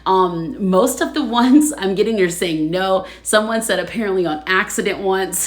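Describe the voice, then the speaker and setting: A woman's voice: mid-range voice, normal speed, echoey room. A woman hosting a casual livestream, chatting with viewers, laughing often and reading their comments aloud. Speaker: livestream host.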